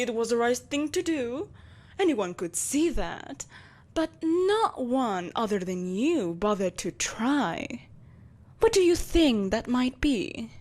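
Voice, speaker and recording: A woman's voice: a little high, full character voice. A woman playing a malevolent, possessive empress in a dramatic audio roleplay, imperious and theatrical, with mocking sweetness.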